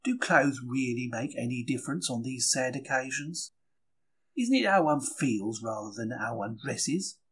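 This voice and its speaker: Husky, plucky bookseller, acted by a man. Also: mid-range voice, animated, measured pace, fairly bright tone. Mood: matter-of-fact, indignant. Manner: colloquial, wry, emphatic storytelling. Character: young 1930s London working-class bookseller, chatty, plucky, easily wounded. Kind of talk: dramatic first-person diary reading.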